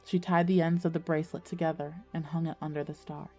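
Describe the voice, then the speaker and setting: A woman's voice: deep voice, flat delivery, quick pace, darkish tone, soft background music. A woman playing an earnest teenage schoolgirl who narrates her own ghost story in an audio drama. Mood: hushed storytelling. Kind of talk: scripted first-person narration and audio drama dialogue.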